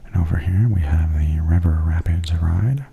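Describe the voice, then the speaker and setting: A man giving softly spoken, gently wry ASMR commentary as a tour guide, in a hushed, breathy half-whisper. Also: low voice, moderate pace, phone-quality sound. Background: theme park haunted attraction ambience.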